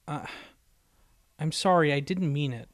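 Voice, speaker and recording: A man's voice: on the high side, unhurried, no echo, clean studio sound, lightly acted. A man voicing a brash but easily sheepish young female pegasus pony in an audiobook, with a stammering, eager manner. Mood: sheepish, apologetic. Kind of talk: acted audiobook dialogue.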